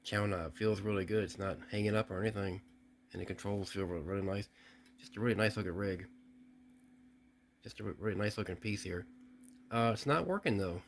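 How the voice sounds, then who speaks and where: A man with a lowish voice walks through an old radio he bought, speaking plainly and thinking aloud. He stops and restarts mid-sentence now and then. A faint hiss sits under the indoor recording. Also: fairly quick, long pauses. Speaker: radio hobbyist testing gear. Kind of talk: casual unboxing walkthrough.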